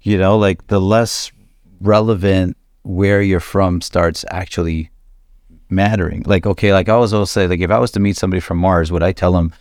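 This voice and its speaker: Low-voiced male host, casual, talks through ideas with questions. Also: fairly even intonation, normal speed, darkish tone, no room sound, studio quality. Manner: musing, asks questions and spins hypotheticals. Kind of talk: casual conversation.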